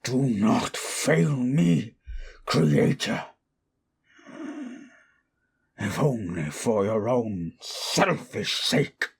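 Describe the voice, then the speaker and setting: Casting: a wrathful, lonely undead creature for audio drama; husky voice, slow and theatrical delivery, formal speech. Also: male voice, medium pitch, expressive, exaggerated voice. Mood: cold menace. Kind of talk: dramatic audio roleplay monologue.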